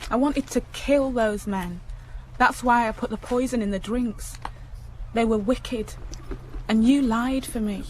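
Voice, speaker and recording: A woman's voice: fairly high pitch, a little slow, a little hiss or hum, natural voice, rough recording. An actress playing an earnest, wronged young woman in a radio drama, speaking plainly and directly. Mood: frank, moved.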